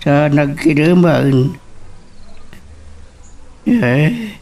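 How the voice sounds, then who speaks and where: A man's voice: fairly high pitch, expressive, drawn-out words, darkish tone, far from the mic, soft background music, exaggerated voice. A man with a Filipino accent playing a wistful, musing character in a family audio drama, recorded slightly away from the microphone.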